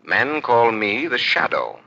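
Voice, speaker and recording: A man playing a suave sleuth and avenging phantom with measured, commanding delivery in a 1940s radio drama. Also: mid-range voice, huge pitch swings, creaky, bright, forceful tone, heavily acted. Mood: eerie, commanding. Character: suave amateur sleuth who turns into an eerie avenging phantom. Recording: dull old 1940s radio recording.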